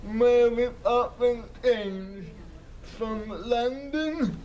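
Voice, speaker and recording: A male interviewer with a high voice asks his questions very slowly and haltingly. A faint background hum sits under his voice. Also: mellow. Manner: asks questions with hesitant restarts. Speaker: interviewer, show host.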